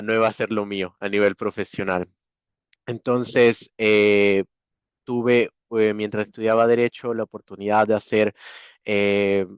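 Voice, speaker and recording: Low, smooth Ecuadorian man, slightly dull audio. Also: dry recording. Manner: halting, many hesitations. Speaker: guest speaker, human resources professional. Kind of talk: informal webinar presentation. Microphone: video call. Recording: dry, slightly dull online-call audio.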